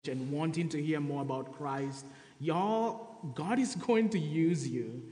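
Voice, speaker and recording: A man's voice: on the high side, slow pace, dark tone. Kenyan male preacher in his thirties, earnest testimony, roomy sanctuary sound. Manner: earnest testimony, reading scripture aloud. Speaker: guest preacher. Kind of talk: sermon. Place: church sanctuary. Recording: echoey church hall.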